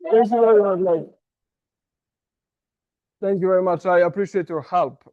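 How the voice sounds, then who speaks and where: Self-mocking Serbian man, playful and halting. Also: sits high, warm tone, muffled recording. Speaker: club speaker. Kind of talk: humorous prepared speech. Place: meeting room.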